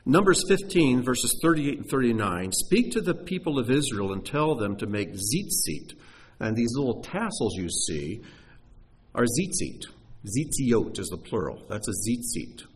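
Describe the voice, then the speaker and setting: A man delivers a teaching sermon with a mid-pitched voice that moves freely through a wide, lively range. He presses hard on key phrases, then sinks almost to a hush. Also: unhurried, slight background noise. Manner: animated, emphatic teaching with sudden drops to near-hushed voice.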